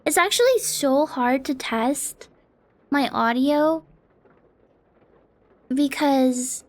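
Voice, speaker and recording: High-voiced, unhurried young woman streamer. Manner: rambling, self-deprecating, swears casually. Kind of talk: casual stream chat. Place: home apartment streaming setup. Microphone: close desk mic on an arm.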